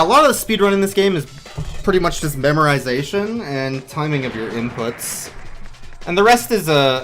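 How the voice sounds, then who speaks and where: A man giving casual, joking live commentary as he speedruns a video game. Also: medium pitch. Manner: wry, joking. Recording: voice under loud game music. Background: loud game music.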